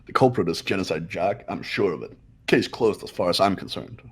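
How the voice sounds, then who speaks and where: A man playing a husky, arrogant young genius. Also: deep voice, fairly quick. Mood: certain, accusing. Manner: haughty, declarative. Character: arrogant, cold, accusing young rich genius. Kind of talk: amateur game dub, dramatic reading.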